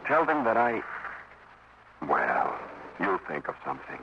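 A shy homesick soldier in old radio drama, really deep, halting, muffled noisy recording. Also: male voice, wildly swooping pitch, exaggerated voice. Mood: wistful, hesitant. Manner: halting, trailing off. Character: homesick young soldier, hesitant and earnest. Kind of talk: 1950s radio drama acting.

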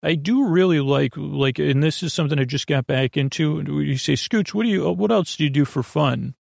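A man hosting a bedtime podcast in a rambling monologue, with a gravelly voice and a bumbling way of circling around his point. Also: on the high side, moderate pace, a little mellow, dry recording, clean studio sound. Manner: meandering, bumbling, trailing off and circling back. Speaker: podcast host.

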